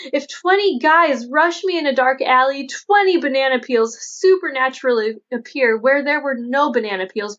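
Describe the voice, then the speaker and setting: Audiobook role: female reader as guileless, devout young oddball woman, higher-pitched and earnest, muffled recording. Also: fairly bright tone. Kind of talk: audiobook character dialogue. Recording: dull lo-fi audio.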